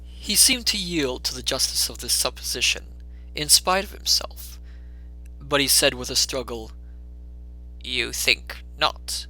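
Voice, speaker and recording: A man's voice: mid-range voice, lively intonation, normal speed, bright, forceful tone, rough recording. A man reading a classic story aloud as a storyteller, in theatrical, scripted dramatic narration.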